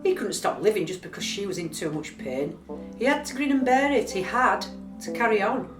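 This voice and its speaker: A woman's voice: mid-range voice, expressive, fairly quick, natural voice. An actress playing a reproachful woman who comments on a troubled couple in a radio play. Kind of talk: dramatic radio play narration. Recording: echoey, distant, guitar music over it. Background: plucked guitar music.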